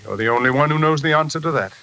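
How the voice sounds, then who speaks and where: An actor playing a polite, hesitant yet probing psychiatrist in a 1940s American radio drama. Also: male voice, on the high side, racing, sharp tone, clean tone. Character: flustered but probing male psychiatrist. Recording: crackly, hissy vintage radio recording.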